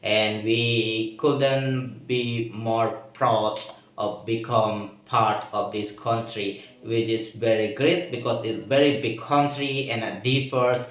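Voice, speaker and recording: A young man from Indonesia with an Indonesian accent, speaking English as a second language in a casual spoken diary. He talks haltingly, with frequent pauses and restarts. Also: mid-range voice, fairly even intonation, reverberant, rough recording. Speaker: student vlogger practicing English.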